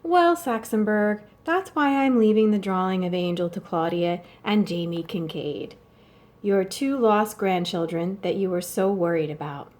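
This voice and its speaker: A woman's voice: medium pitch, unhurried, darkish tone, lightly acted. Woman reading as an imperious old wealthy lady. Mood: wry, imperious. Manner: bossy, dry, matter-of-fact. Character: wry, imperious old wealthy woman dictating her wishes.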